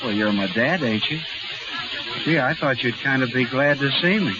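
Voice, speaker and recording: A man's voice: mid-range voice, animated, normal speed, background noise, natural voice. Man as eager young farm boy, muffled radio. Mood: wistful, pleading. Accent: rural American. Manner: earnest, folksy country speech, polite, bashful. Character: eager, naive nineteen-year-old American farm boy. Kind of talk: 1930s radio drama acting. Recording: muffled 1930s radio broadcast, music.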